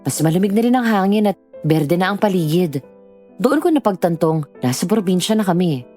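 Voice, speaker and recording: A low-voiced woman, acting as the character tells her own story in a measured first-person narration. Her tone is dark and mellow, with faint music underneath. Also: lively intonation, natural voice. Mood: reflective.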